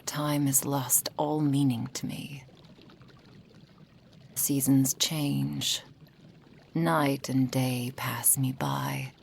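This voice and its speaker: A woman playing a possessive, doting kitsune witch in an intimate ASMR roleplay, breathy and often whispering, with a silky, formal manner. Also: low voice, darkish tone, a little hiss or hum, full character voice.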